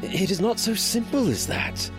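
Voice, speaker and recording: A man playing a conflicted, cautious and wry nobleman in an audio drama, with measured courtly phrasing and a defensive edge. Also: high-pitched, lively intonation, music in the background.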